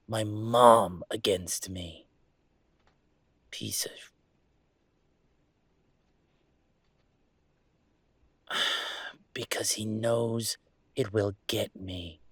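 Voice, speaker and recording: Man acting upset young guy, husky, halting and slow. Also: lowish voice, no room sound, studio quality, exaggerated voice. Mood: hurt, bitter. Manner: halting, rambling, emotional, repeats words. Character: upset young male werewolf streamer venting about his father. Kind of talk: intimate dramatic audio roleplay.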